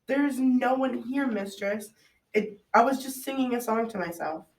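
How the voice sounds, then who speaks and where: A woman's voice: a little high, unhurried. A young teenage girl playing a frightened captive maiden in a school radio drama, earnest and anxious. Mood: fearful. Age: young teen. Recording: echoey room, distant mic, faint noise.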